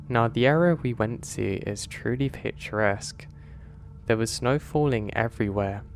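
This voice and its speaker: A man calmly narrating listener-submitted horror stories in a measured, scripted delivery. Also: on the low side, gravelly. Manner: calm, measured storytelling delivery.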